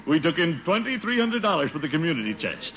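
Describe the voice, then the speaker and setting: An actor playing a pompous, sentimental middle-aged American uncle in a 1950s radio comedy, blustering theatrically and murmuring fondly. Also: male voice, fairly high pitch, big pitch swings, fast. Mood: proud, fond. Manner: blustery, theatrical exclamations dropping into fond murmurs. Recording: noisy, muffled 1950s radio recording.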